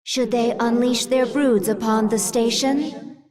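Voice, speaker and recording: An actress voicing a dutiful female alien adviser who reports in a formal, even way. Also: a little high, slow pace, a crowd in the background.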